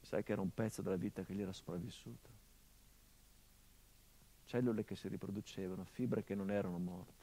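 A male actor delivering a theatrical monologue as a son remembering his father, measured and intimate, swelling into urgency. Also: low-pitched, fairly even intonation, dark tone, slightly rough.

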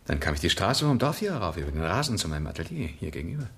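Deep-voiced, flippant painter with lively intonation. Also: male voice, rapid-fire. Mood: nonchalant. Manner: casual, teasing, offhand. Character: flippant, nonchalant male painter under suspicion. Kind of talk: German radio play acting. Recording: faint noise in studio recording.

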